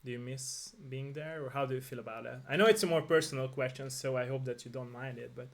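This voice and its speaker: Earnest man hosting, quick to agree. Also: mid-range voice, vocal fry. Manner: earnest, repeats short agreements. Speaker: interview host. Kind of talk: casual interview chat.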